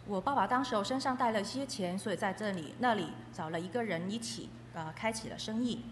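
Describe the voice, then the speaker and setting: A woman's voice: mid-range voice, quick pace. A woman interpreting a testimony aloud, phrase by phrase. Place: echoey hall. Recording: echoey hall with faint noise.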